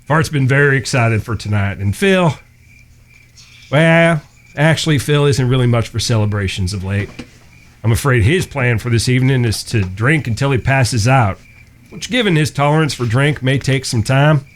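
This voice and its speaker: An actor playing an earnest, courteous American cowboy younger brother, mild and folksy, in a western audio drama. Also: male voice, mid-range voice, normal speed, a little hiss or hum, close to an everyday voice. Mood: courtly.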